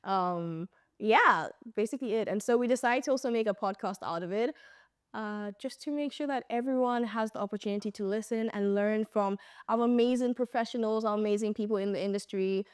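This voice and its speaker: A woman's voice: medium pitch, brisk, clear, resonant tone, no room sound. A young woman co-hosting a podcast talks in an easygoing, wry way. She sounds dry and close to the mic, and she jokes easily between her questions. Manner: laid-back, joking. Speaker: podcast co-host. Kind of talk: casual podcast chat.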